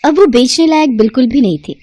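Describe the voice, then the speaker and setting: A woman telling a moral story in a clear, steady, scripted way. Her voice is fairly high and sounds close and dry, with no room around it. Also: fast, no room sound. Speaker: narrator.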